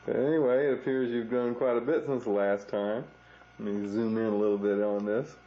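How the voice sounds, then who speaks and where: A man's voice: fairly low pitch, little pitch movement, brisk, warm tone. A young man narrating a home video as he films his pregnant wife, chatty and easygoing. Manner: chatty, narrates while filming. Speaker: father filming home video. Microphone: camcorder mic. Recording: dull lo-fi VHS tape.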